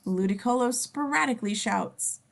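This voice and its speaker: A woman's voice: fairly high pitch, normal speed, creaky. A woman reading screenplay scene descriptions aloud as the narrator of a table read.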